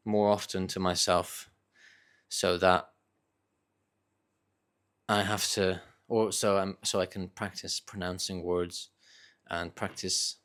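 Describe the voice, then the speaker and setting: A man with a low voice speaks in a Northern English accent tinged with Dutch. He talks off the cuff, as in a casual solo vlog. His phrasing is reflective and hesitant, with frequent small corrections as he finds his words. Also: a bit bright. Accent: Northern English with slight Dutch. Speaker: vlogger sharing reading thoughts. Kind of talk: casual unscripted monologue.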